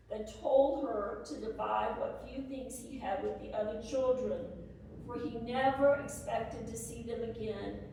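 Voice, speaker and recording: Slow, echoey woman playing a soldier's wife. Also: fairly high pitch, darkish tone, slight background noise. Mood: sorrowful. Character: plainspoken, hardworking Civil War soldier's wife, worried but practical. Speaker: reader at a historical presentation. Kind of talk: dramatic reading of historical letters. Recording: echoey room, distant microphone.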